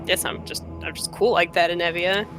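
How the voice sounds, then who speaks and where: A woman's voice: on the low side, fairly even intonation, fairly quick. A woman playing through a video game, reading narration aloud with playful, dry asides, music playing behind her. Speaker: let's player.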